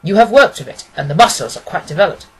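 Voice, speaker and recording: A woman voicing a confident, quick-witted gentleman detective from a storybook, precise and amused. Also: fairly low pitch, lively intonation, breathy edge, rough recording. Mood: assured. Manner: precise, expository, assured.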